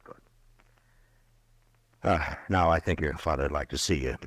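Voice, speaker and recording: An actor in a 1950s radio western playing a gentle, stammering frontier marshal who also narrates the story. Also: male voice, a bass voice, unhurried, dry recording, full character voice. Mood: hesitant, gentle. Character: weary, kindly frontier marshal, grown man.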